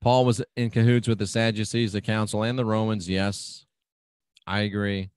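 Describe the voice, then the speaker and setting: A man's voice: fairly low pitch, flat delivery, normal speed, darkish tone, clear, resonant tone, no room sound, clean studio sound. Folksy man hosting a home-made radio show. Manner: folksy, chatty, agreeable. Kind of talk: casual talk reading out live chat comments.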